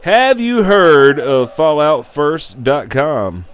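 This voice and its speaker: Animated man hosting an irreverent rant. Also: a little high, takes it slowly. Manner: irreverent, sarcastic, profane. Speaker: radio-style show host. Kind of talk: casual opinionated rant.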